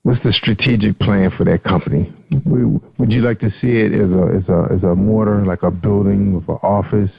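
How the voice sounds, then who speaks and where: A man hosting a podcast interview, conversational and quick to affirm his guest. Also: on the low side, steady tone, gravelly, breathy edge, no room sound. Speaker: podcast host. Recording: dull lo-fi audio.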